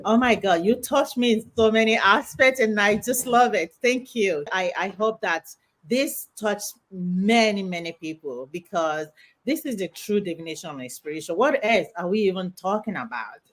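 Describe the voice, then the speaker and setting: A woman's voice: mid-range voice, normal speed. A woman hosting an online interview show, effusive and full of praise on a video call. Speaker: show host.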